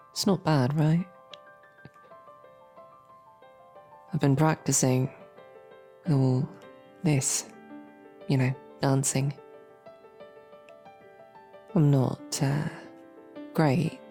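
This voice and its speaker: A woman playing a lovestruck royal knight in a romantic fantasy audio roleplay, sweetly awkward, halting and playfully snarky. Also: low voice, creaky, warm tone, exaggerated voice. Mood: playful, self-deprecating. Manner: halting, flustered, rambling.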